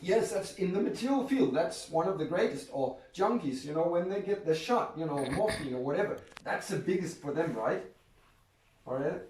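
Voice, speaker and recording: A man's voice: on the high side, animated, moderate pace, a little mellow. A man giving an informal spiritual talk to a group, chatty and joking, often restarting his sentences. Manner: conversational, jokes and laughs, restarts often. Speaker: spiritual speaker. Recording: echoey room, voice from far off mic.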